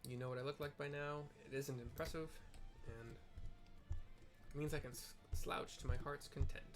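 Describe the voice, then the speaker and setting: A man's voice: mid-range voice, steady tone, normal speed, soft background music. A man chatting casually while playing and describing a game, rambling with lots of fillers and self-deprecating asides, at a home computer. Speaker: game streamer narrating for blind viewers. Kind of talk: casual gameplay chat and description.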